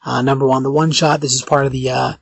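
A man with a raspy voice hosting a podcast in casual chat, halting and often correcting himself. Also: medium pitch, flat delivery, no echo. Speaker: podcast host.

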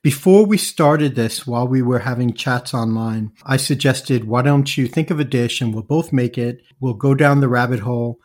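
A man hosting a food podcast, introducing his guest and asking earnest, slightly halting questions. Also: medium pitch, a little mellow. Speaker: podcast host. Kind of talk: podcast interview.

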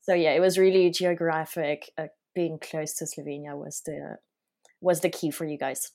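Low-pitched woman hosting a podcast. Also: level tone, normal speed, a little mellow. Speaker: podcast host. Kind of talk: scripted intro and casual interview.

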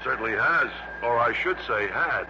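A man's voice: mid-range voice, animated, moderate pace, close to an everyday voice. Man acting genial American businessman on muffled radio. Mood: guarded. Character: genial, chatty, slightly guarded prosperous businessman. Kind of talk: 1960s radio drama acting. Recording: muffled, noisy vintage radio broadcast.

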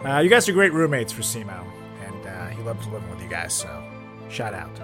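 A man's voice: lowish voice, big pitch swings, brisk, fairly bright tone, faint music behind. A man co-hosting a podcast, chatting casually and jokingly with friends and prone to giggling. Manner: giggly, breaking into laughter. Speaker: podcast co-host.

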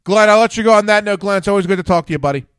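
A man's voice: high-pitched, rapid-fire, a bit bright, clear, resonant tone, dry recording, clean studio sound. Blunt, rambling man in his thirties. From Philadelphia area. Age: 30s. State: tipsy, coughing. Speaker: host. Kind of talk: casual call-in radio show.

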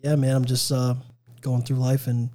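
A man in his twenties, a guest on a casual podcast, speaking in a measured, thoughtful way with many ums. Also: mid-range voice, monotone, warm tone. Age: 20s. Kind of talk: casual chat.

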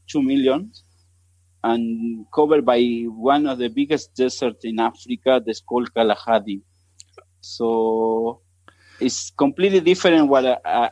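Argentine missionary, halting, searching for words. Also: male voice, medium pitch, a little mellow, no room sound, low-quality recording. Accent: Argentine Spanish. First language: Spanish. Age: 30s. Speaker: missionary guest. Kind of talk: podcast interview.

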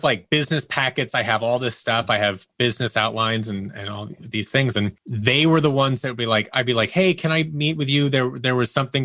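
A man's voice: mid-range voice, fairly bright tone, dry recording, studio quality. A man chatting as an interview guest, telling anecdotes emphatically and voicing the dialogue of people he quotes. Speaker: podcast guest, audio producer.

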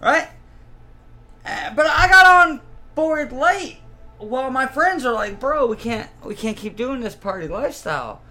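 A man in his thirties chatting casually and telling personal stories in a rambling, animated, profane way. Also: very high-pitched, a little slow, faint music behind. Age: 30s. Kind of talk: casual chat, personal anecdotes.

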